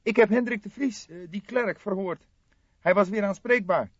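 Man putting on a high, excitable young detective. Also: normal speed, no echo, dull audio. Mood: excited. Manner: fierce, excited, insistent. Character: eager, vehement young male police detective, easily irritated.

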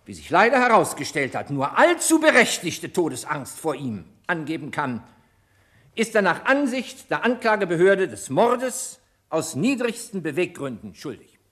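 A brusque prosecutor in a vintage radio drama, a fairly high voice that rises and falls with force. He gives clipped orders and argues coldly. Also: sing-song, moderate pace, a bit bright. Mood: accusing. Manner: clipped, commanding. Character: stern male public prosecutor, authoritative. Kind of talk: vintage radio play acting.

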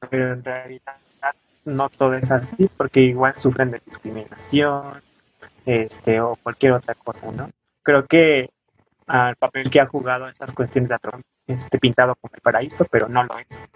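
A man's voice: mid-range voice, slight background noise, low-quality recording. Young Mexican man in conversational interview. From coastal Oaxaca, southern Mexico. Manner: explanatory, often ending phrases with a questioning tag. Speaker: podcast guest, student and weaver.

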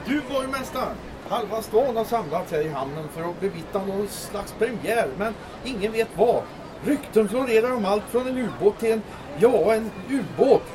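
An actor playing a brash, blunt press photographer in a comic audio drama, excitable and grumbling. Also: male voice, sits high, crowd noise. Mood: excited, indignant. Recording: noisy outdoor harbour ambience.

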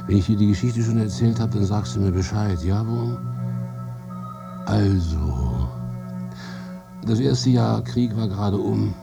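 An actor playing a battle-worn, one-handed soldier, halting and confiding, in a radio drama. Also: male voice, fairly low pitch, creaky. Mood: eager, confiding. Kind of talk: radio drama dialogue and monologue. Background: music.

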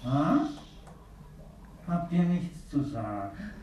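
A woman playing a deranged killer in an old radio drama, taunting his trapped victims in slow whispered threats. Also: sits low, very animated, mellow, heavily acted. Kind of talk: 1960s radio drama acting. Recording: old radio play with faint hiss.

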